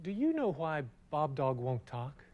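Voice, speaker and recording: A man playing a polite, curious, kindly neighbour in a children's make-believe television show, speaking gently and expressively. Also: on the high side, wildly swooping pitch, measured pace. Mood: friendly, wondering. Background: prominent music.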